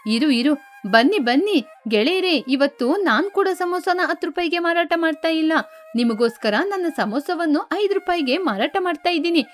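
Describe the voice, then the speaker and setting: A woman's voice: extremely high pitch, expressive, moderate pace. A woman voicing a scheming, boastful female sparrow who sells samosas in a children's cartoon story. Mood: competitive. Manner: pushy street-vendor sales talk. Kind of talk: animated children's story dialogue.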